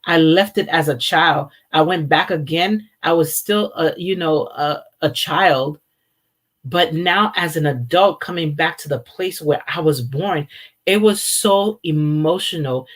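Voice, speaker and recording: A woman's voice: low voice, a little slow. A woman in her forties, born in Haiti and raised in America, giving an earnest, reflective faith talk with frequent ums and restarts. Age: 40s. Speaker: speaker opening a teaching series. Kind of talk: informal sermon-style teaching.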